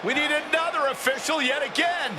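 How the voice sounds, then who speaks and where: A man's voice: very high voice, fairly quick. A man giving urgent, emphatic wrestling commentary in the style of a live broadcast for a video game. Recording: very noisy arena with roaring crowd. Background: loud wrestling crowd.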